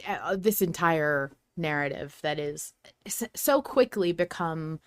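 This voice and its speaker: A woman's voice: low-pitched, slow, no echo. A woman co-hosting a casual fan podcast, playful and self-deprecating as she talks. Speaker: podcast host.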